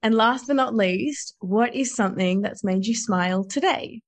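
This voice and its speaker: A woman's voice: fairly high pitch, moderate pace, dry recording. A woman hosting a podcast, chatting in an effusive, enthusiastic and casual way. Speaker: podcast host. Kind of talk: casual interview chat.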